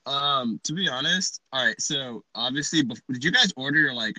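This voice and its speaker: Bright, lively young man hosting a podcast. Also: mid-range voice, moderate pace, dry recording, poor audio. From Dallas, Texas. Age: young adult. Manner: playful, joking, laughs often. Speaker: podcast host. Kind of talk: casual chat.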